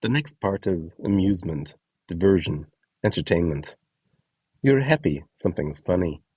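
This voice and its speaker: A man with a low-pitched, mellow voice narrates a scripted guide as host and instructor. His tone is a little husky and the sound is close and dry, with each step laid out plainly. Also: lively intonation, moderate pace, no echo, far from the mic. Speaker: host and instructor of a voice donation project.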